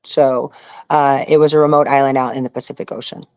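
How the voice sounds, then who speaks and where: A woman's voice: very deep voice, flat delivery, a little fast, darkish tone, clear, resonant tone, no echo. A woman speaking earnestly as an interview guest, telling her story casually and often saying "you know". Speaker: interview guest, reality survival show contestant. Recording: dull lo-fi audio.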